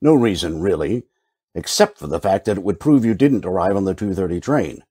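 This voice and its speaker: A man acting a shrewd, world-weary detective in an old-time radio drama, deadpan and wry in delivery. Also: low voice, fairly quick, husky, no room sound, studio quality. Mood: coolly accusing.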